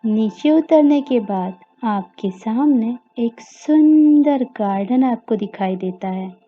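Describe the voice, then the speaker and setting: A woman with an Indian accent narrating a guided meditation story for children, gently and with deliberate pauses, over music. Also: on the high side, lively intonation. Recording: dull lo-fi audio with music behind.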